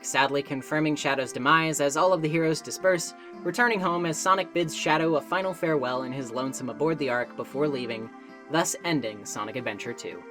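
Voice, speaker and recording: A woman delivering scripted video essay narration in a conversational way, with wry asides. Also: low voice.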